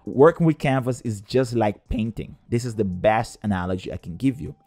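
A man's voice: fairly low pitch, lively intonation, moderate pace, dark tone, no echo. A man narrating a scripted step-by-step coding tutorial in a methodical, explanatory way. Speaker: tutorial presenter.

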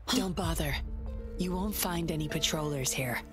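A low-pitched female game character, played by an actress, composed and secretive. She speaks in a measured, deliberate way, coolly calculating, with faint music behind her. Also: lightly acted. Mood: cool, menacing. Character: composed, secretive young woman, cool and calculating. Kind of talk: video game voice acting.

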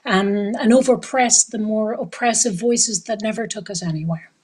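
A woman in her thirties speaking earnestly and thoughtfully as a panelist over a video call from her living room. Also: medium pitch. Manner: earnest, measured, with soft breathy drops. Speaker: festival panelist. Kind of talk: reflective panel talk.

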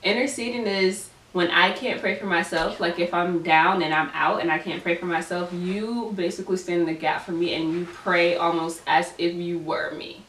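Animated nineteen-year-old woman hosting. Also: on the low side, moderate pace, few pauses. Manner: animated, laughing, conversational. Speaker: host. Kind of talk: casual faith chat. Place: living room, group on a couch. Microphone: camera mic at a distance. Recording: echoey room, distant mic, faint noise.

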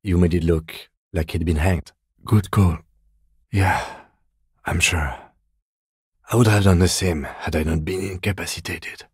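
A very deep-voiced, composed police detective for a video game. He speaks in a measured, deadpan way, with a mellow, husky tone and a dry, close sound. He comes across as dutiful and restrained. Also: no echo, exaggerated voice. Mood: calm, dry. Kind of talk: video game dialogue acting.

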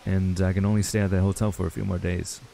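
A man acting a stressed, earnest young runaway in an intimate audio roleplay, speaking haltingly with sighs. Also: sits low, level tone, gravelly, mellow. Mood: weary, hesitant. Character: stressed young man, frustrated runaway reuniting with childhood friend.

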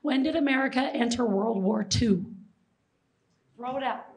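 A middle-aged woman from Seattle with a hoarse, failing voice, giving a lively history presentation and quizzing an audience. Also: fairly high pitch, moderate pace. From Seattle, Washington. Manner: lively, jokes with the audience, asks questions. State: hoarse, losing her voice. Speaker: presenter and flight attendant. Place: large auditorium stage. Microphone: handheld mic.

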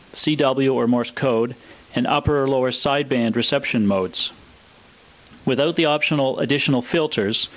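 A man with a mid-pitched voice delivers scripted, explanatory narration. He is methodical and matter-of-fact, laying out technical details in an orderly way, as the presenter of an equipment walkthrough. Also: fairly bright tone. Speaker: presenter of a vintage radio equipment video.

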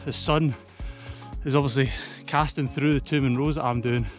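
A man's voice: medium pitch, steady tone, moderate pace, faint music behind. A Scottish man in his thirties, slightly out of breath, chatting casually to camera as a hiking vlogger outdoors on a mountainside. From Scotland. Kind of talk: casual vlog chat.

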